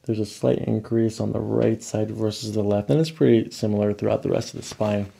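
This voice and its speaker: Low-pitched, gravelly male chiropractor. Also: level tone, fairly quick, warm tone. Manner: methodical, explains each step, frequent checking questions. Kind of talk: clinical patient consultation and exam commentary. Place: small carpeted chiropractic clinic room and hallway.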